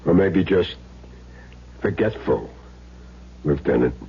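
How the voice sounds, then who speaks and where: A cornered hitman, speaks with intense, defensive delivery and a very mobile pitch. It is 1970s radio drama on a dull recording with light noise. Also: animated, slow, vocal fry, exaggerated voice. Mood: resigned. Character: cornered, soft-hearted hitman protesting his innocence. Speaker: actor.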